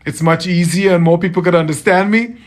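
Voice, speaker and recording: A man from Trinidad with a softened Trinidadian accent, chatting casually with viewers on a livestream as a tailor, friendly and often repeating himself. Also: sits high, fairly even intonation, a little fast. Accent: Trinidadian, softened toward American. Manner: friendly, repeats phrases, greets viewers by name.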